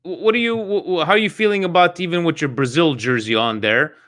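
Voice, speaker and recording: A man's voice: a little high, fast talker. A man hosting a casual podcast chat, asking the others questions with a lot of fillers. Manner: lively, questioning, hesitant fillers. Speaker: podcast host.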